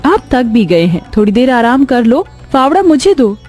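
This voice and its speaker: A woman voicing a caring, dutiful young farmer's daughter in a cartoon story, speaking gently. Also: fairly high pitch, very animated, normal speed, faint music behind, pitched above the performer's own voice.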